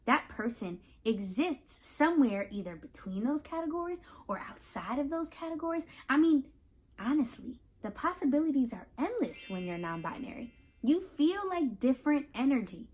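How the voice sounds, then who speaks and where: A woman reading a picture book aloud to children, pausing to explain and put questions to the listeners in an instructive, animated way. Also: high-pitched, slightly rough. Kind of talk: children's read-aloud with teaching asides.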